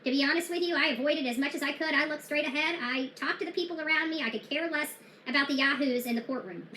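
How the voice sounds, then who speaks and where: A high-voiced woman talks straight to her viewers about true crime. She is blunt and defiant, full of fillers and restarts, and her bright voice rings in an echoey room. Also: quick pace, slight background noise. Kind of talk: casual talk to viewers.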